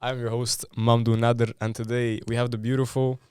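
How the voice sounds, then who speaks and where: A man's voice: medium pitch, little pitch movement, brisk, darkish tone, clear, resonant tone, dry recording, clean studio sound. A man hosting a casual podcast interview, asking playful, teasing questions. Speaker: podcast host.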